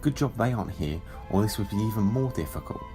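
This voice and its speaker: An actor playing an urgent, stern Time Lord ex-soldier in an audio drama, insistent and formal. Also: male voice, lowish voice, animated, quick pace, over music. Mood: pleading, forceful.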